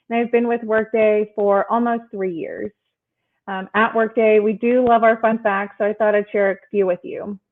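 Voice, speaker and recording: A woman moderating a panel, speaking in a prepared, presentational way over an online call. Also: medium pitch. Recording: narrow phone-like call audio.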